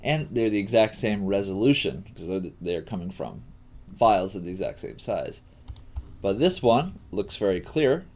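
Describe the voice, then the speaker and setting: A man giving a casual live coding tutorial as a teacher, thinking aloud in a halting way while he works. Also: on the low side, lively intonation, darkish tone.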